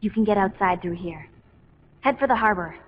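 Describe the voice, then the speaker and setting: A woman playing a calm, solemn young soldier with foresight in a video game drama. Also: mid-range voice, fairly quick, slight background noise, lightly acted. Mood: gentle, resigned. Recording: muffled game audio.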